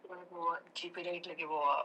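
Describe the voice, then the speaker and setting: A woman's voice: lowish voice, little pitch movement, quick pace, pushed and bright. A woman having a casual chat on a phone call. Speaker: friend called during an interview.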